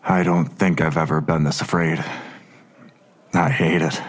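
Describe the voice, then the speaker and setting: Male detective, very low and husky; terse, guarded, weary father carrying worry. Audio drama. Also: flat delivery, a little slow. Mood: somber, shaken.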